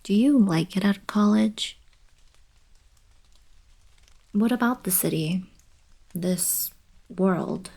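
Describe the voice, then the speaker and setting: A woman playing a playful, teasing young alien princess girlfriend in an intimate ASMR roleplay, speaking softly and breathily. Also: mid-range voice, slow pace. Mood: soft, wistful. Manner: soft, breathy, casual teasing delivery. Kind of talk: intimate ASMR roleplay, scripted monologue to the listener.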